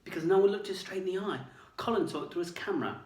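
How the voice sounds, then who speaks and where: A man's voice: sits high, fast, darkish tone, voice pushed up, lightly acted. A man doing an urgent, earnest teenage boy. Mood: excited. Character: quick-thinking teenage schoolboy, earnest and urgent. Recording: roomy, distant mic.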